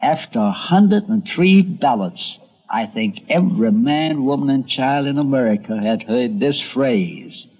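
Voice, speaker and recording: A male documentary host narrates from a script with folksy, dramatic flair. His voice sits at a middle pitch. The recording is a dull old tape with faint noise under it. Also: expressive, measured pace. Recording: muffled, noisy old tape.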